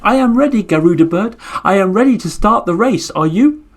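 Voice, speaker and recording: A man voicing a small, clever turtle that pleads in a high, insistent voice. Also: lively intonation, a little fast. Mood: cheeky.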